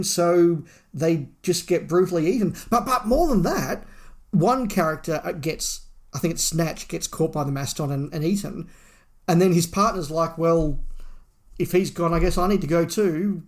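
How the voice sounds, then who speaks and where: A man's voice: a little high, normal speed. A middle-aged man talking at length and with emphasis as a podcast co-host, in a casual review discussion.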